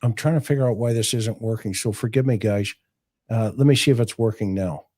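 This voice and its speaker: Mellow-voiced man hosting, distracted. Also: fairly low pitch, brisk. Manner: distracted, apologetic. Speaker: host. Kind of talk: live show, fixing technical problems. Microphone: headset.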